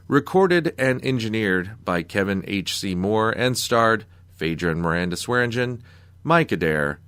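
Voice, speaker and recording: A man's voice: on the low side, a bit bright, no room sound. A man with an American accent reading a scripted announcement as a radio show's announcer.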